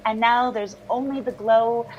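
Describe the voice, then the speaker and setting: An actress playing a haunted, enraptured woman recounting a dream in a halting, wistful monologue. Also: a little high, fairly even intonation, darkish tone, muffled recording, slight background noise, natural voice. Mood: tender, wistful. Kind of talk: dramatic interview-style monologue.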